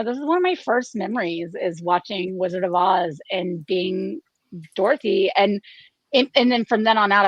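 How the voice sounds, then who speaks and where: A woman talking casually after a show, telling her story in an animated way as one of the actors. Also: fairly low pitch, no echo, muffled recording. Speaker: actress in an amateur radio play troupe, playing the lead.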